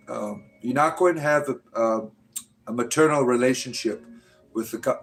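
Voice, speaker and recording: A man's voice: mid-range voice, rough recording. A man in his fifties hosting a casual livestream, chatting with viewers in a rambling, joking way full of fillers. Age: 50s. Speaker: livestream host. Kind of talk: casual live-chat talk answering viewers.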